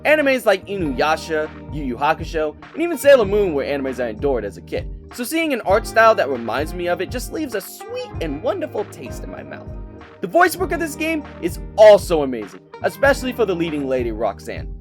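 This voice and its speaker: A man giving a casual, scripted game review with an enthusiastic, playful and expressive delivery. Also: high-pitched, brisk, soft background music.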